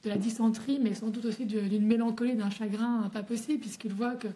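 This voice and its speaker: A woman historian explains history in a fairly high, mellow voice. She pauses often and restarts her phrases as she talks her way through the story. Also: steady tone. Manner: hesitant, many fillers and restarts. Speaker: historian guest. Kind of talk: academic explanation.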